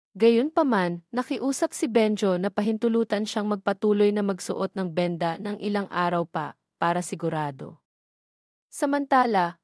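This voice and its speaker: Filipino woman narrating a scripted love story, medium pitch, really dry sound. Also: a little mellow, no room sound. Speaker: narrator of a romance audio story.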